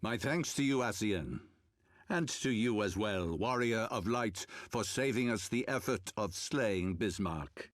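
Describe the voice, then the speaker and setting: A grandiose fantasy game villain speaks slowly and with stately formality. His pitch sweeps widely through each theatrical phrase, and the recording is close and dry. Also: animated, no echo. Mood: smug, courteous. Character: imperious male zealot leader, grandiose, coldly triumphant villain. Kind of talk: dramatic fantasy video game acting.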